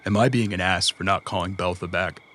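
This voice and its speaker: Low, terse cyberpunk runner. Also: male voice, steady tone, brisk, pushed and bright. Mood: troubled. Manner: terse, low-key, plainspoken. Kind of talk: audio drama acting.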